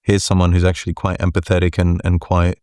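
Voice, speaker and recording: Deep-voiced man, slow measured answers. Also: completely flat delivery, a little mellow, dry recording, clean studio sound. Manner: measured, deliberate, frequent "right?" and "look". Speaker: venture capital partner, guest. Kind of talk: reflective interview answers.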